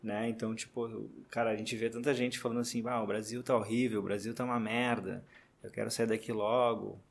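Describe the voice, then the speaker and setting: A man co-hosting a casual podcast, relaxed and slangy, cutting in with quick opinions. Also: lowish voice, gravelly, slightly rough. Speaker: podcast co-host.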